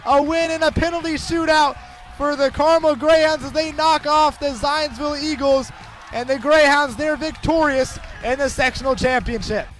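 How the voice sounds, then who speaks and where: A young American man does live sports play-by-play for radio in a strikingly high voice. His delivery shifts between measured description and excited, shouted calls. Music is clearly audible behind the broadcast.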